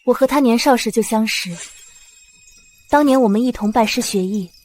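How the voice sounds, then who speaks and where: Composed young woman general played by an actress, high voice. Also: normal speed, darkish tone, faint music behind, close to an everyday voice. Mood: respectful, wistful. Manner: composed, earnest, formal. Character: dignified, steadfast young woman general in ancient court. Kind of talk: historical short-drama acting.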